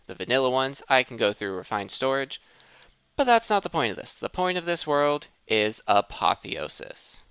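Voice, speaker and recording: A man's voice: lowish voice, pushed and bright, smooth, no echo. A man giving casual, playful gameplay commentary as the host of a let's play.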